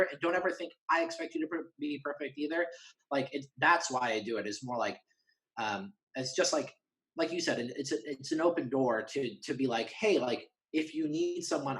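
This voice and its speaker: A man with a medium-pitched voice talks as a guest in a relaxed conversation. He is candid and earnest, using informal phrasing and often restarting a thought to put it another way. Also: low-quality recording. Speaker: interview guest.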